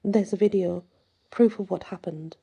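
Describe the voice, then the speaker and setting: A woman's voice: medium pitch, moderate pace, vocal fry, dark tone, breathy edge. A woman voicing a shaken, pleading man with a trembling, stammering, urgent delivery in an audiobook horror story. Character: shaken, pleading young man who witnessed a killing.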